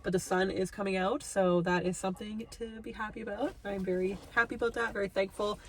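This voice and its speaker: A young woman with a nasal, stuffed-up voice chats casually into a handheld phone, drifting from her car to a walking trail outdoors. Her pitch sits in a middle range, and she slips into a sweeter, higher tone whenever she speaks to her dog. A faint hiss runs under the recording. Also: steady tone, brisk, rough recording. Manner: chatty, self-deprecating, talks in a high sweet voice to her dog. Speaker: vlogger. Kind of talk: casual vlog chat.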